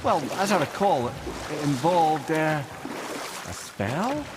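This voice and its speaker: An actor playing a witty old severed head, a learned and loquacious storyteller with a Scottish accent, in a video game. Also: male voice, a little high, takes it slowly, noisy background. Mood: thoughtful. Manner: loquacious, wry, lively storytelling. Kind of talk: video game character acting. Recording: loud music behind the voice.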